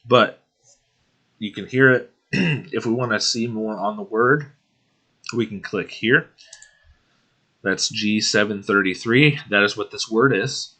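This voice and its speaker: A young man hosting a casual Bible study video, working through verses at an unhurried pace, often clearing his throat and saying um. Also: on the low side, sharp tone. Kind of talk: casual screen-share Bible study walkthrough.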